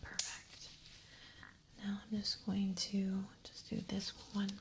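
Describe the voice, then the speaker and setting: A woman speaking in a breathy whisper, gently giving reassuring instructions as an examiner in an ASMR medical roleplay. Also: medium pitch, completely flat delivery, slow pace. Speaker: ASMR performer playing an ear examiner.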